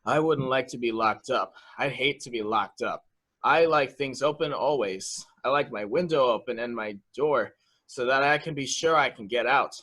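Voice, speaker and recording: A man playing a childlike, simple and excitable young man in a murder mystery reading, rambling and repeating himself innocently. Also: mid-range voice, moderate pace, natural voice. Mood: anxious, naive. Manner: guileless, rambling, repetitive.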